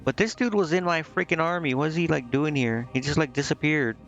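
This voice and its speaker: Gamer dude muttering slangy reactions on stream, kinda muffled audio, music behind him. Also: male voice, on the high side, brisk. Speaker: live game streamer. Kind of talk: casual game stream commentary, reading dialogue aloud. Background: game music.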